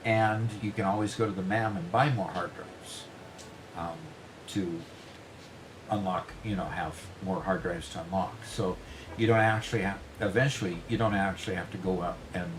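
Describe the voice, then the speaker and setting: A man's voice: sits low, steady tone, moderate pace, noisy background. A man co-hosting a gaming livestream, chatting casually, playful and easily flustered. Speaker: livestream co-host. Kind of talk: casual chat while gaming.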